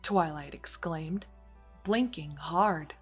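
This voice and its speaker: A woman's voice: low voice, slow, slightly rough, faint music behind. A woman narrating a scripted story as its narrator, reading in prose between characters' dialogue.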